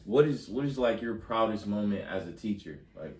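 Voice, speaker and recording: Young male podcast host, loose slangy delivery, slightly low voice, distant roomy mic. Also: lively intonation, moderate pace, a little mellow. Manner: loose, repetitive, casual slang. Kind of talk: casual interview. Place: podcast studio.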